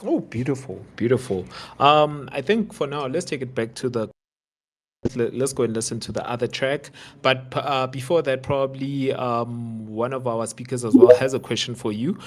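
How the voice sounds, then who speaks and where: A man co-hosting a live online show, hesitant and filling pauses as he hands over to the host. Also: medium pitch. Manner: hesitant, with many fillers and restarts.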